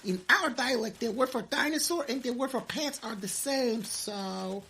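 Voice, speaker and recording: A woman's voice: fairly high pitch, moderate pace, fairly bright tone, slight background noise. A woman playing a meek, eager young male underling with an Eastern European accent in a comic audio drama. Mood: matter-of-fact. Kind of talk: comedic audio drama acting.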